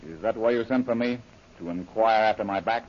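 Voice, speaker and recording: Husky male voice, actor as blunt jungle hero, curt, defiant, mid pitch, vintage radio drama, muffled recording. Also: a little fast, close to an everyday voice. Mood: curt, wary. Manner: curt, plain-spoken. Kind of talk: 1930s radio acting. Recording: dull lo-fi old radio recording.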